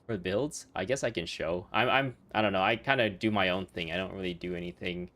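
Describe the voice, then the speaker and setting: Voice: low voice, brisk, a bit bright. A game streamer giving casual livestream commentary in a playful, giggly, rambling way. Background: game music.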